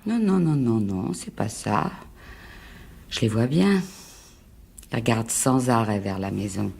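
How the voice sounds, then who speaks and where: An actress playing an anxious, fragile wife in a radio mystery drama, husky, plaintive and reproachful. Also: female voice, very deep voice, animated, a little slow, a little mellow, full character voice. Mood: fearful, agitated. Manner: plaintive, pleading.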